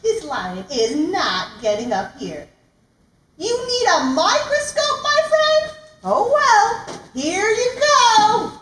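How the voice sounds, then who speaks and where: A woman's voice: extremely high pitch, big pitch swings, slow pace, a bit bright, exaggerated voice. A woman voicing a cheeky, mock-innocent giraffe in a children's puppet show, teasing playfully, recorded at a distance in an echoey room. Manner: teasing, playfully mishearing rhymes. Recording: roomy, distant mic, noisy.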